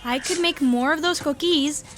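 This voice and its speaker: A woman's voice: sits high, normal speed, close to an everyday voice. A woman playing an eager, creative young girl in an audio drama, full of ideas.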